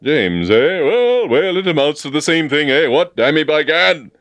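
A bluff, sentimental old colonel, acted out by a male storybook reader. He speaks in halting, repetitive, old-fashioned courtly phrases, blustering one way and wistfully noble the next. The recording is dry and close. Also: a little high, big pitch swings, a bit bright, no echo, voice pushed up. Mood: diffident, blustering. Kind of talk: dramatised storybook reading.

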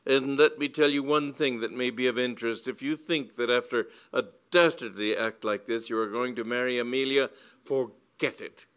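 A man's voice: medium pitch, expressive, moderate pace, lightly acted. A man voicing a formidable society matron in a comic story, indignant, commanding and coldly declamatory.

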